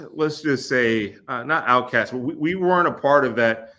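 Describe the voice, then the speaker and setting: A man in his forties from Kentucky, a podcast guest telling stories in a relaxed way, laughing often and pausing with fillers. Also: mid-range voice. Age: 40s. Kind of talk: casual storytelling conversation.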